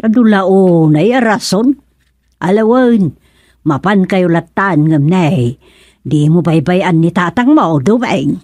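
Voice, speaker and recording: A woman's voice: lowish voice, expressive, takes it slowly, dark tone. An actress playing a worrying, nagging older village wife and mother with an Ilocano accent in a radio drama comedy. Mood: scolding, anxious.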